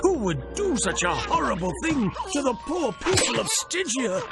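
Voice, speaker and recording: An actor playing a sleazy, gleeful villain who hosts a TV promo in a smarmy, mock-concerned, drawn-out way for a game. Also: male voice, very high voice, very animated, creaky, over loud music, exaggerated voice. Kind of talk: theatrical TV promo hosting.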